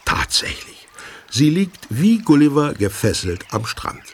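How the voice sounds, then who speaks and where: A man narrating a children's story in an expressive, dramatic, husky voice. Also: on the high side, unhurried, a little hiss or hum. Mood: vivid, suspenseful. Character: lively male storyteller.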